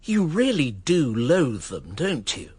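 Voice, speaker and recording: A man's voice: a little high, huge pitch swings, slow, a little mellow, slightly rough, no room sound, exaggerated voice. An actor playing a wry, articulate, embittered man who narrates his own story in a radio drama. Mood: wry, dry. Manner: wry, articulate, educated phrasing.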